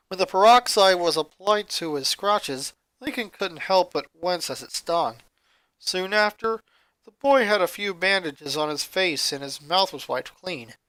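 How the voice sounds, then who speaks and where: A woman's voice: on the low side, a bit bright, no echo. A woman narrating a fan fiction story from a script.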